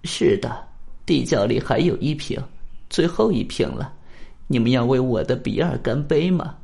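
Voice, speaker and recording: A man voicing a humble, sorrowful old widow who runs a restaurant, halting and deferential, in a radio drama. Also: medium pitch, expressive, gravelly, a little mellow, pitched above the performer's own voice.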